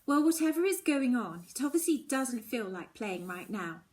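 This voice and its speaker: Woman as caring schoolgirl, determined, sceptical, brisk, reassuring, children's storybook dialogue. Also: a little high, big pitch swings, a little mellow, voice pushed up. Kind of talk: children's audiobook character dialogue.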